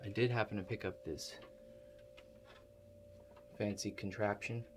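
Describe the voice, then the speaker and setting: A man doing an ASMR roleplay, talking to the listener in a halting, musing, politely apologetic way. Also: on the low side. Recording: loud steady hum behind the voice.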